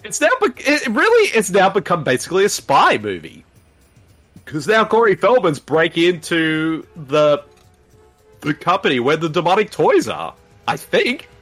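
A man co-hosting a casual movie-riffing chat, excitable and laughing often. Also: high-pitched, normal speed, soft background music. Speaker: co-host.

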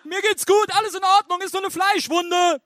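A man's voice: very high-pitched, steady tone, moderate pace, sharp tone, no echo, clean studio sound, pitched above the performer's own voice. A man playing an officious, cheerfully oblivious police inspector in a comic audio play. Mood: strained, breezy. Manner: officious, breezy, insistent.

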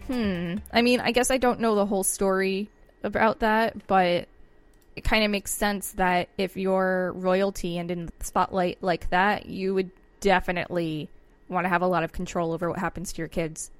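A woman reads reference articles aloud as a podcast co-host. Her pitch is average for a woman, her remarks are informal, and music plays softly behind her. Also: fairly even intonation, normal speed, dry recording. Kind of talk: reading aloud with casual commentary.

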